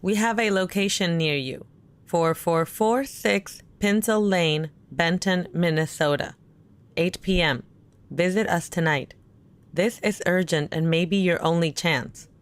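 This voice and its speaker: A woman playing a worried, caring and suspicious character in an audio drama, speaking naturally and earnestly with gentle insistence. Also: on the low side, measured pace, clear, resonant tone, lightly acted. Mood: intent, wary.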